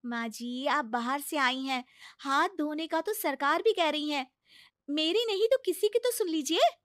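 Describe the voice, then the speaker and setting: A woman's voice: high voice, a little slow, fairly bright tone, dry recording, clean studio sound, pitched above the performer's own voice. A woman playing an exasperated, cheeky young daughter-in-law in an acted comic family story, insistent and pleading. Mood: nagging.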